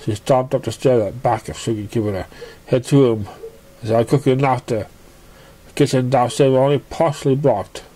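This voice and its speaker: Man haltingly reading a story aloud. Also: mid-range voice, fairly even intonation, dark tone. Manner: halting, stumbles over words and rereads. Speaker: amateur story reader.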